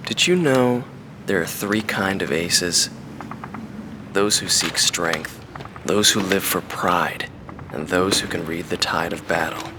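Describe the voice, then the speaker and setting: World-weary veteran fighter pilot, deep voice, reflective game interview, wistful pacing, noticeable ambient noise. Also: male voice. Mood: wistful reminiscence. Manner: measured, wistful storytelling. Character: weary, reflective male veteran mercenary pilot recalling the past. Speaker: former mercenary fighter pilot being interviewed. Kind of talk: documentary-style interview monologue from a video game.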